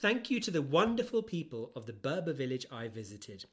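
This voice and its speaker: A man's voice: medium pitch, sing-song, fairly quick, a bit bright. A man co-hosting a podcast in casual comic chat, giggly and teasing as he talks. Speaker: podcast co-host.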